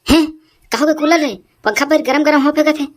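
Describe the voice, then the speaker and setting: A woman voicing excitable villagers in a comedy cartoon, with a Chhattisgarhi accent and an exclamatory, repetitive way of talking. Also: sits high, a little fast, natural voice. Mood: alarmed. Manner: exclamatory, repeats words.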